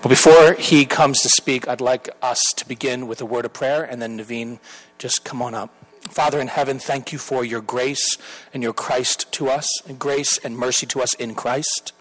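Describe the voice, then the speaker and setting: A man's voice: medium pitch, steady tone, moderate pace, low-quality recording. Earnest man introducing a speaker. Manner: earnest, rambling with restarts. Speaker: pastor. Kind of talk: introduction and prayer.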